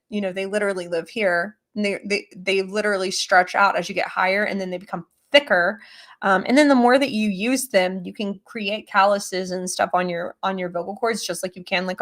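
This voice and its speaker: A young adult woman chatting casually on a livestream, rambling with many fillers, her voice lower than usual today. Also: fairly bright tone. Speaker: streamer answering chat.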